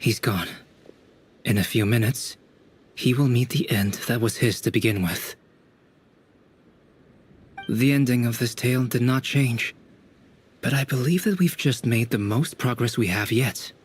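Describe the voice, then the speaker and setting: A man's voice: mid-range voice, a little slow, pauses often, husky. An actor playing a composed, thoughtful male scholar with a formal, reflective way of speaking, in video game dialogue. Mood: grave, reflective.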